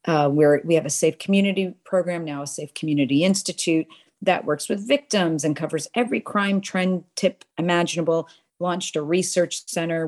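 A woman in her forties, originally from Boston, speaks as a podcast guest with a fairly low voice. She talks earnestly and eagerly, rolling along in long sentences and often restarting mid-thought. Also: darkish tone. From Boston, USA. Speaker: guest, nonprofit crime-prevention leader. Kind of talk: conversational podcast interview.

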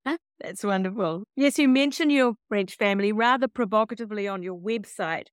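A woman's voice: mid-range voice, a little fast, clean tone, no room sound, studio quality. A woman hosting a podcast, putting questions to a guest in a conversational interview.

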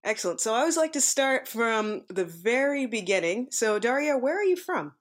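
A woman's voice: a little high, big pitch swings, moderate pace. Upbeat lady hosting a podcast, friendly intro then easy chat. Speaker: podcast host.

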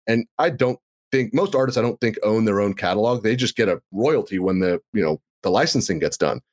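A man's voice: lowish voice, animated, brisk. A man hosting a podcast, chatting enthusiastically and playfully, with rambling asides. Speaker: podcast host. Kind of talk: casual chat.

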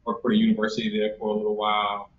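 A man's voice: lowish voice, racing. Young south carolina guy, chatty, lots of ums, muffled echoey call audio, faint hiss. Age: young adult. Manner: chatty and animated, laughs often, many fillers. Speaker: guest. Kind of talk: conversational interview.

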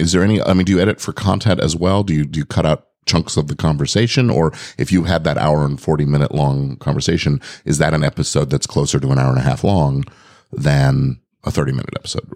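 A man hosting a podcast interview, with a booming, robust voice, asking questions in a relaxed, conversational way. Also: fast talker, no echo, clean studio sound. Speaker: podcast host.

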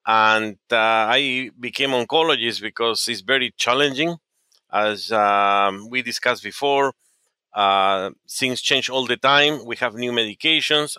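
A man's voice: medium pitch, sharp tone, no room sound. A middle-aged man from Peru with a Peruvian Spanish accent, speaking English as a second language, answering interview questions earnestly with frequent fillers and restarts. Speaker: oncologist guest. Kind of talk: podcast interview.

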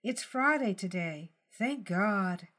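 A woman's voice: mid-range voice, expressive, slow pace, fairly bright tone. Woman reading as a small, anxious elderly wife. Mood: absent, resigned. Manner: hesitant, earnest, explaining. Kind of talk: audiobook character dialogue.

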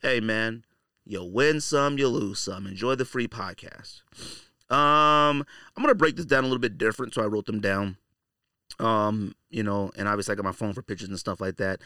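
A man's voice: lowish voice, moderate pace, bright, forceful tone, clear, resonant tone, dry recording, clean studio sound. A man hosting a casual, off-the-cuff podcast monologue, talking in a slangy, blunt, conversational way. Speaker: sneaker podcast host.

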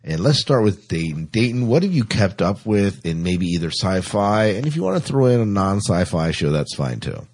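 Deep husky male podcast host. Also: sing-song, normal speed, few pauses, a little mellow. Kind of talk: casual chat.

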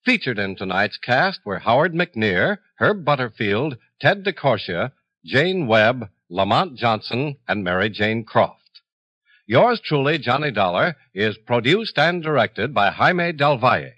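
A man's voice: medium pitch, sing-song, a little slow, fairly bright tone, dry recording, lo-fi sound. A man with an American accent reading sponsor copy and credits as a radio announcer in the 1950s style. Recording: 1950s radio broadcast with music.

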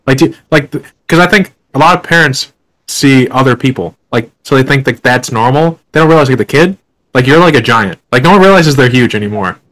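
A man hosting an interview who talks quickly at a mid-range pitch. His speech rambles, loose and casual, with many filler words strung between his thoughts. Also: lively intonation, a bit bright, rough recording. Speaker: podcast host.

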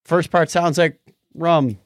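A man's voice: fairly high pitch, steady tone, slow pace, dry recording. A man co-hosting a casual show, bantering in a jokey, chatty way through news items and announcements. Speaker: podcast co-host.

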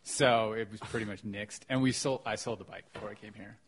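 A man's voice: fairly low pitch, steady tone, a little fast, breathy edge. A man with an American accent, a writer, chatting casually as a guest on a podcast. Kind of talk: casual chat, being interviewed.

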